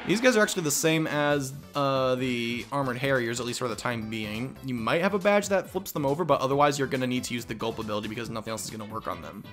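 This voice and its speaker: A man's voice: mid-range voice, animated, fast talker, hardly any pauses, fairly bright tone, soft background music. A young man casually commenting as he plays a game, rambling and self-mocking. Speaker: let's play host.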